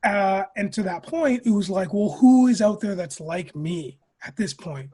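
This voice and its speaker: High pitched guy w a raspy husky voice, casual podcast chat, really into it. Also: animated, measured pace, mellow. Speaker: podcast guest.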